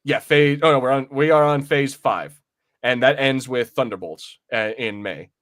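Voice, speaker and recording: A man with a mid-range voice talks casually as a podcast co-host. His delivery is halting and rambling, with phrases repeated while he works out the thought. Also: medium pitch, a bit bright. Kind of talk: casual film and comics discussion.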